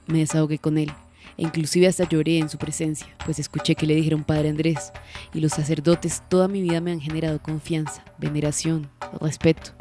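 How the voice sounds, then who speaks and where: Woman playing low, mellow, wounded refined lady. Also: level tone, a little fast, faint music behind, natural voice. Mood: solemn, wounded. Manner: formal, melodramatic. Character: refined, theatrically wounded upper-class lady witness. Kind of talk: audio drama acting.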